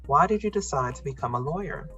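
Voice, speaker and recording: A woman podcast host with a husky voice, giving scripted introductions and interview questions. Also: low voice, animated, fast talker, fairly bright tone, lo-fi sound, faint music behind.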